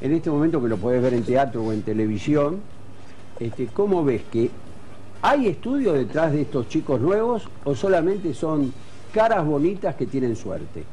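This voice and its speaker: A man's voice: fairly high pitch, big pitch swings, normal speed, dark tone, a little hiss or hum, low-quality recording. A man with an Argentine accent hosting a television talk show, asking questions and leading into breaks. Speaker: TV show host.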